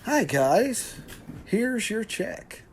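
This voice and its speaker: Club manager, male actor: man, husky mid-range voice, slow, lilting, sorry but firm, radio drama. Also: high voice, very animated, a little hiss or hum, heavily acted. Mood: apologetic, firm. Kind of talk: radio mystery play acting.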